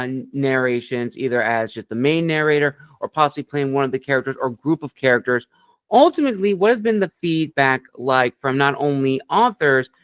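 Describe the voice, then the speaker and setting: A man's voice: mid-range voice, fairly bright tone, clear, resonant tone, no room sound. A man hosting an interview show, asking long questions and praising his guest with enthusiasm. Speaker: podcast interview host.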